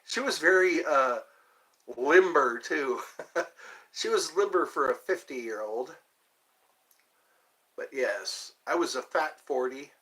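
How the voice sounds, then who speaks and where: A man in his fifties chats with his livestream viewers, wandering from one topic to the next. He jokes at his own expense in a loose, rambling way. His pitch moves up and down freely as he talks. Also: expressive, takes it slowly, long pauses, bright, forceful tone. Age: 50s. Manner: rambling, joking, self-deprecating. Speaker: livestream host. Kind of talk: casual chat answering viewers.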